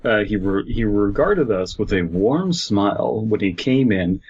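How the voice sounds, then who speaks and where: A man co-hosting a podcast, reading fiction aloud haltingly amid casual banter. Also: on the low side, animated, darkish tone, husky, dull audio. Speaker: podcast co-host.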